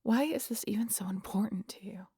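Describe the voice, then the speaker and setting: A woman playing a sardonic, guarded vampire in an intimate audio roleplay, with a gravelly, husky voice and a teasing, exasperated manner that slips into breathy whispers. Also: medium pitch, fairly quick, mellow, no room sound, studio quality. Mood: exasperated, defensive. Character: sardonic, guarded female vampire hypnotizing an injured hunter.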